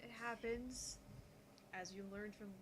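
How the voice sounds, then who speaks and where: A woman's voice: on the high side, steady tone, unhurried. A woman co-hosting a gaming channel with casual, playful banter. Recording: clear background noise, room ambience.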